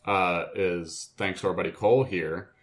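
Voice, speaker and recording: A man's voice: sits low, steady tone, normal speed. Casual, slangy man co-hosting a podcast. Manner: informal slangy talk with swearing. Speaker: podcast co-host. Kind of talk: casual group podcast chat.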